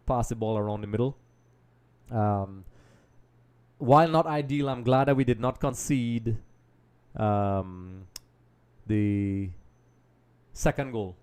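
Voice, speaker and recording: A man's voice: fairly low pitch, warm tone, clear, resonant tone. A man from Trinidad and Tobago hosts a live football watch-along, reading viewers' comments and analysing the match in a chatty, analytical way, often saying "um" and addressing "folks.". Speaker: livestream host.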